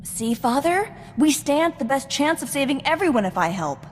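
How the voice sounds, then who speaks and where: An actress playing an eager, headstrong young daughter in a video game. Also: female voice, high voice, sing-song, moderate pace, in a large hall, a little hiss or hum. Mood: eager, determined.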